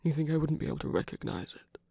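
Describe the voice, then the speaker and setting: A performer voicing a commanding yet tender, possessive villain in an ASMR roleplay, speaking deliberately in a husky voice that often drops to a whisper. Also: medium pitch, lively intonation, warm tone. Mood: gentle, probing. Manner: slow, deliberate, coaxing, with long pauses. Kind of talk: ASMR roleplay, scripted intimate monologue.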